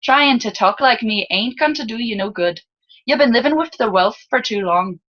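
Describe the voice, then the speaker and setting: A woman voicing a cheeky, friendly lower-class boy with colloquial, teasing speech in an audiobook story. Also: expressive, moderate pace, a bit bright, lo-fi sound. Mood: teasing, pressing. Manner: colloquial, teasing, clipped rustic dialect.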